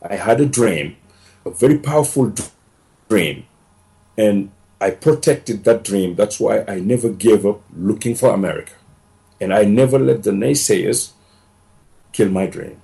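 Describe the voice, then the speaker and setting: Guest voice: man, gravelly and mellow, earnest, repeats and restarts as he tells his story. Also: sits low, poor audio. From Senegal. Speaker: podcast guest. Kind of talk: casual storytelling interview.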